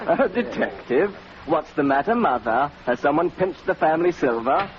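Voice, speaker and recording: A man's voice: a little high, expressive, moderate pace, a crowd in the background, natural voice. A man playing a bitter, witty, tipsy family brother in 1940s radio acting, with a sardonic, slightly stammering theatrical manner. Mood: mocking. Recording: muffled, noisy old radio recording.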